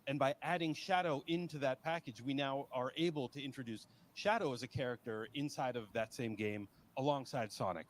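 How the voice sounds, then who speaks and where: A man working as an interpreter, explaining things in connected, conversational phrases. His voice sits at a middle pitch, with faint ambient noise in the background. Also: fairly quick, hardly any pauses.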